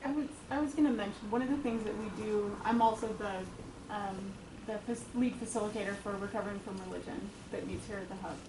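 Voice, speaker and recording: A woman who leads a support group, talking quickly in a group discussion and laughing as she goes. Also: medium pitch. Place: meeting room with a group. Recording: echoey room, noisy.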